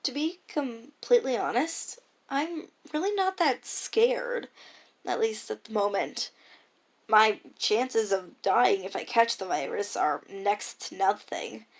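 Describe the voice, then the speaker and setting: Creaky-voiced teenage girl musing aloud. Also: on the high side, sing-song, slow. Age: teenager, high school freshman. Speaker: personal audio diarist. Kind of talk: casual spoken audio diary, reflective monologue.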